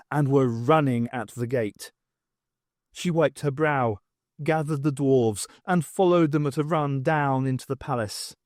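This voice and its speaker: A man's voice: on the high side, darkish tone, dry recording, clean studio sound. A man reading a scripted story aloud as an audiobook narrator. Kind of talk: scripted narration of a fan audiobook, acting out dialogue.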